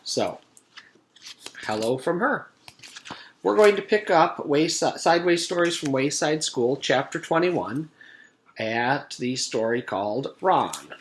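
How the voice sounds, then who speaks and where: Animated man reading a children's story. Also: fairly high pitch, slow, a little hiss or hum. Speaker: teacher reading aloud to a third grade class.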